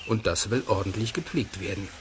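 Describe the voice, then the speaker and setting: A man playing the narrator of a children's radio play, telling the story in a measured, inquisitive way. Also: lowish voice, natural voice. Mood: measured, intrigued. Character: male storyteller of a children's tale. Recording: noisy cassette transfer of radio play.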